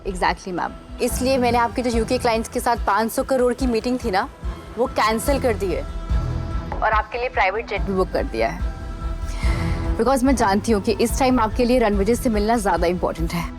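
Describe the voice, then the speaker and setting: An actress playing an eager, polished and efficient assistant in an audio drama. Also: female voice, a little high, expressive, brisk, music in the background, lightly acted. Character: eager, efficient female assistant and sister to an heiress.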